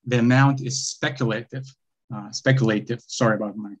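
A young man with a Lithuanian accent arguing his own appeal over a video call, halting, with many fillers and restarts. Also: mid-range voice, fairly even intonation. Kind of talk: remote oral argument before a court. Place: bright indoor room, video call. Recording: dull lo-fi video call audio.

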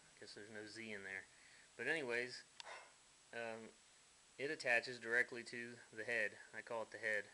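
A man's voice: medium pitch, fairly even intonation, normal speed, pauses often, bright, forceful tone, slight background noise, poor audio. Blunt folksy man in his workshop. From United States. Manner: blunt, folksy, mildly profane. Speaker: hobbyist builder. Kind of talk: casual workshop walkthrough. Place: dim garage workshop.

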